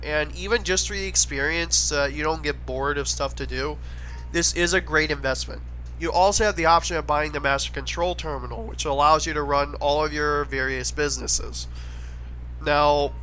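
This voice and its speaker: A man with a fairly high, bright, forceful voice that sounds a little stuffy from a head cold. He talks casually and eagerly, like a gaming creator recommending his favorites. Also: a little hiss or hum, low-quality recording. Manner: chatty, enthusiastic recommending. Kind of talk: casual gameplay commentary voice-over.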